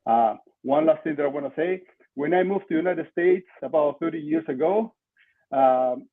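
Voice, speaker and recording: Older male podcast guest, Peruvian Spanish accent, slightly high-pitched, conversational and emphatic, phone-like video-call sound. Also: normal speed. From Lima, Peru. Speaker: podcast guest, marketing entrepreneur. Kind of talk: conversational interview answers. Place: small room at home, indoors. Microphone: video call, computer mic at desk distance. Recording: muffled, lo-fi video call audio.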